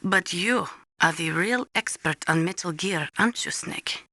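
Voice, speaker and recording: A serious female weapons adviser in a military video game. Her voice is crisp-edged and bright, recorded very dry and close. She explains things formally and exactly, like a trained instructor. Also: on the low side, a little slow, no room sound, full character voice. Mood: matter-of-fact. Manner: formal, precise, instructive, avoids contractions. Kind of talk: scripted video game voice acting, explanatory briefing.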